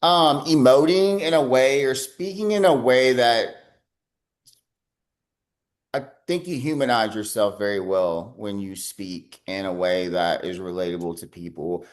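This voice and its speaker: A man's voice: medium pitch, measured pace. A man hosting an interview podcast, talking loosely and chattily with plenty of fillers. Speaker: podcast host. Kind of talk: casual interview.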